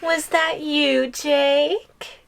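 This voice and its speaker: A woman acts out a gleeful, possessive giantess in an ASMR roleplay. Her voice is high and girlish, drawn out very slowly as she toys with her prey, sweet on top and threatening underneath. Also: fairly even intonation, gravelly, exaggerated voice. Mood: playful, hunting. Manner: sing-song taunting with giggles and drawn-out threats. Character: possessive giantess girlfriend, playful, menacing, gleefully taunting. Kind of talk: ASMR audio roleplay acting.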